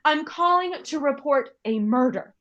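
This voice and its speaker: An actress playing an anxious, demanding invalid wife in a radio play, fretful, indignant and complaining. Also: female voice, sits high, lively intonation, a little slow, fairly bright tone, highs cut off. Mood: agitated, insistent. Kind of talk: stage radio play acting.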